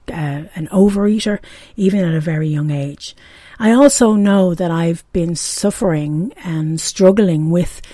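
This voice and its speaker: A woman in her forties with a warm, mellow Dublin Irish accent talks openly into a close, dry mic. She speaks in a reflective podcast monologue. She pauses often with ums and restarts her sentences. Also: mid-range voice, no room sound. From Ireland. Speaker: podcast host.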